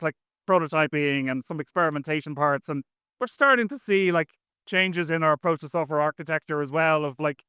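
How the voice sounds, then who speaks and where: A man with a bright, clear voice that sits a little high for a man. He talks like a guest in a casual interview, chatty and rambling with plenty of slang. The sound is close and dry. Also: dry recording. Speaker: interview guest, software engineer.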